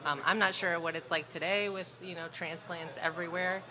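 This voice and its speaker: A woman in her thirties from Chicago, a photographer guest on a live podcast, talking in a relaxed, chatty way. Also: fairly low pitch, brisk, poor audio. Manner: relaxed, chatty, laughs easily. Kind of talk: casual interview. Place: busy restaurant. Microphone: broadcast mic on stand. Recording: crowd chatter behind. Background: restaurant crowd chatter.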